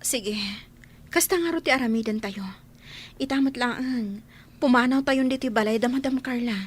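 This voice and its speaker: A woman's voice: sits high, normal speed, breathy edge. A woman playing a selfless, resolute young housemaid in a Filipino radio drama. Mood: resolute, sad.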